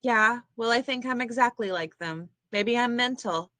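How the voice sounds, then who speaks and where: A woman's voice: on the high side, moderate pace, fairly bright tone, dry recording, lightly acted. A woman playing a troubled, bitter and frightened young psychiatric patient in a dramatic table read. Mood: bitter, guarded.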